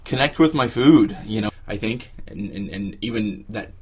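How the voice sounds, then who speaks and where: A man giving casual, reflective interview answers, haltingly searching for his words. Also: fairly low pitch, expressive, husky. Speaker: interviewee, exercise participant.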